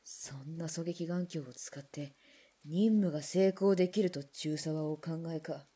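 A defiant, cool-headed female military engineer played by a woman for a radio drama. Her voice is low and very mellow, and her phrasing is blunt, clipped and mannish. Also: unhurried, husky. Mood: accusing.

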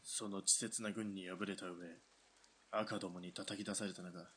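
A man plays a commanding army officer in a radio drama, his voice lowish with a bright, forceful edge. It is husky, and his orders come out curt and final. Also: flat delivery, normal speed, full character voice. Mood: stern. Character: stern Japanese army lieutenant colonel. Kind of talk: hobby radio drama acting.

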